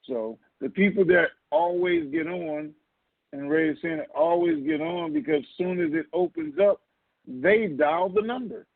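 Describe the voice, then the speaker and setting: A man's voice: very high voice, big pitch swings, measured pace, vocal fry, dry recording. A man co-hosting a casual call-in, explaining answers in a blunt, conversational way with emphatic repetition. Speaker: co-host answering listener questions. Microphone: telephone. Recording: narrow, lo-fi phone line.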